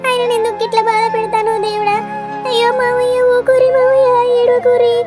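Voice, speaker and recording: A woman acting as a caring young daughter-in-law in a village drama. Her tiny, extremely high voice pleads in Telangana Telugu with little pitch movement, over clear music. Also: completely flat delivery, brisk, darkish tone, no echo. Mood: anxious consoling. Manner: pleading, consoling.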